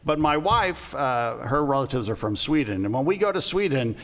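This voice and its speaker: A man's voice: medium pitch, animated, normal speed, a bit bright. A man from Puerto Rico speaking on a panel, telling anecdotes in a wry, conversational way. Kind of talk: panel discussion. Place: event hall. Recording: long reverb of a hall.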